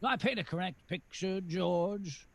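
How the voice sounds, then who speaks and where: Creaky, scheming old rich villain. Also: male voice, sits high, fairly bright tone, exaggerated voice. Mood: sneering, goading. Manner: slow, deliberate, condescending. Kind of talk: 1940s radio drama acting.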